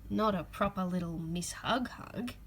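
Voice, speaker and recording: A woman reading a children's picture book aloud, with expressive emphasis and drawn-out key words. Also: fairly low pitch, a little hiss or hum. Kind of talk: read-aloud storytelling for children.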